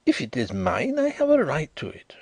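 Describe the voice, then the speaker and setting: A man voicing a proud, guarded young man of about twenty-five who flares into excited outbursts. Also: high-pitched, moderate pace, vocal fry, no room sound, voice pushed up, heavily acted. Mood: agitated.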